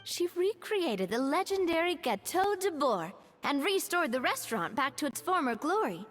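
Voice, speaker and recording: A woman voicing a poised, friendly and spirited young lady in a video game, with a breezy, expressive delivery. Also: high voice, normal speed. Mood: explanatory. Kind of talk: video game dialogue acting.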